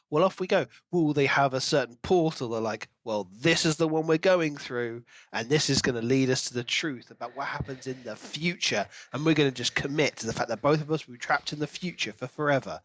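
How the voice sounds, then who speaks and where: A man's voice: mid-range voice, fairly bright tone, dry recording. A man co-hosting a podcast, talking through a book in casual chat and posing questions as he goes. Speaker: podcast co-host.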